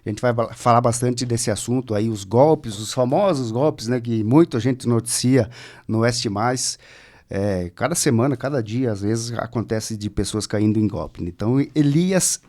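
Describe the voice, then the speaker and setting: A man's voice: mid-range voice, normal speed, a little mellow. Podcast co-host, man with a mid-range voice, loose and jokey delivery. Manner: loose, filler-heavy, jokey. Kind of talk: casual podcast chat.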